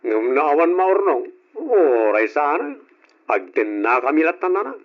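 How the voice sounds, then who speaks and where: A bossy, lecturing young elder brother in an old radio drama, his voice pitched high for a man. He scolds his younger sibling about work. The recording sounds dull and boxy like old broadcast audio. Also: very animated, unhurried. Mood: earnest.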